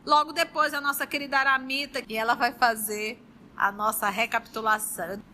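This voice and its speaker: A woman's voice: on the high side, steady tone, brisk, sharp tone, a little hiss or hum. A Brazilian woman hosting a book study group, speaking affectionately and reading aloud. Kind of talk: warm group talk and slow read-aloud of a novel.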